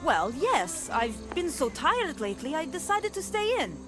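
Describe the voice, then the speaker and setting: A woman's voice: sits high, big pitch swings, moderate pace, over music. Lyra, gushing anxious young woman. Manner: breathless, gushing, pleading.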